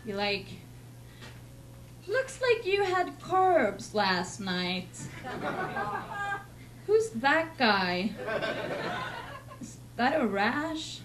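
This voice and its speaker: A young woman from Sweden with a Swedish accent, doing a deadpan, self-mocking stand-up set in a comedy club. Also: on the high side, extremely slow, pauses often. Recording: noisy club recording.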